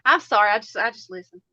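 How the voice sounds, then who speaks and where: A woman's voice: fairly high pitch, pushed and bright, dry recording. A woman with a twangy Southern US accent, co-hosting a craft stream and teasing playfully. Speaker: craft live stream co-host. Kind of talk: casual banter. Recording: dull dry lo-fi audio.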